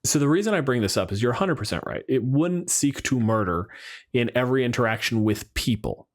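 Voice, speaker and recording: A man's voice: mid-range voice, lively intonation, brisk. A man co-hosting a podcast in casual chat, arguing his points back and forth with qualifications. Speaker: podcast co-host. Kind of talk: casual chat about tabletop game monsters.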